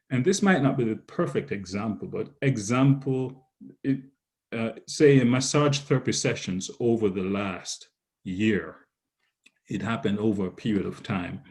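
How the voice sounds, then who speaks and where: A man with a Jamaican accent presenting a lecture on research methods in a measured, explanatory way, stopping to restate key terms. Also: fairly low pitch, darkish tone.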